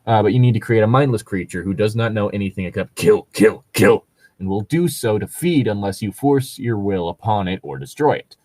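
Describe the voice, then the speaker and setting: A man co-hosting a casual commentary chat about gaming stories, talking in a rambling, halting way. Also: fairly low pitch, a little mellow, dry recording. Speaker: co-host reading and commenting on tabletop gaming stories.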